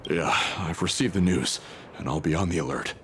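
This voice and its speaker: Very deep-voiced deferential soldier played by a man. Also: fairly quick, slight background noise, natural voice. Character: deferential male soldier on watch, dutiful. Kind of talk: video game voice acting.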